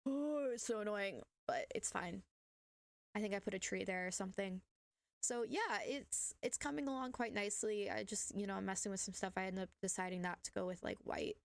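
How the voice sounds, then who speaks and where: A young woman, hoarse from being sick, chatting casually and rambling with many fillers while narrating her building game. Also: mid-range voice, fairly quick, no echo. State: hoarse from illness. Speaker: gaming channel host. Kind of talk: casual voice-over commentary on gameplay.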